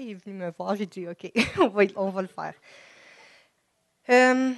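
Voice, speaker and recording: Quebecoise woman, English first language, nervous church testimony, mid-pitched, dry close sound. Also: no room sound. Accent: Quebec French. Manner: halting, shy, many restarts. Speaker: church member giving testimony. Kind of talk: personal faith testimony. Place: church service. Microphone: microphone before an audience.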